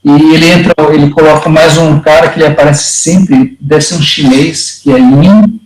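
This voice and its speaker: Guy on a video call, kinda high voice, stops and restarts a lot, echoey room. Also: steady tone, moderate pace. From Brazil. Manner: hesitant, many restarts and fillers. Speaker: study group participant.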